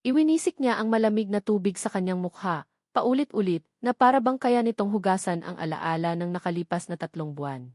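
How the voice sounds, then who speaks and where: Filipino woman reading a dramatic audiobook story, mid pitched voice, really dry sound. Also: expressive, brisk, darkish tone, no echo. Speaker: audiobook narrator. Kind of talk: scripted dramatic story narration.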